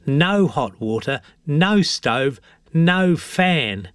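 A man voicing a chatty, grumbling storybook woman, gossiping in an animated way. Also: on the high side, extremely slow, clean tone, no echo, studio quality, voice pushed up. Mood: gossipy reminiscing. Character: chatty grown woman gossiping about her parents.

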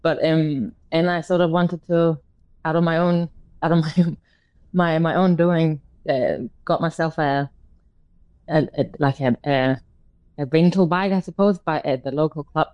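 A hesitant middle-aged woman from Denmark, by birth, telling her story on a radio show with frequent restarts and little laughs. Her voice is smooth and resonant, recorded dry and a bit dull. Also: deep voice, fairly even intonation, measured pace, dry recording. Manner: hesitant, many fillers and restarts, laughs often. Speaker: guest, former road cyclist. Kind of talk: radio interview.

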